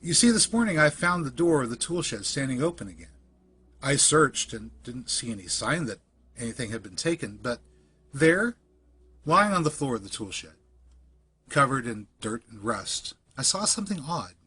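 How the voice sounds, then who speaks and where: Male narrator, medium pitch, for scripted creepy fiction; deliberate, measured storytelling delivery. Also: very animated, sharp tone, slight background noise. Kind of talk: scripted first-person spooky story narration.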